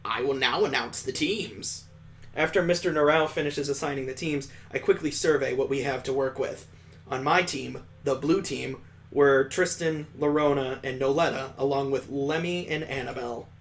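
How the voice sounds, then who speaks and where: A man's voice: medium pitch, normal speed. A man reading a visual novel aloud as a streamer, breaking off for sarcastic, amused asides. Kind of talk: reading game text aloud with joking asides.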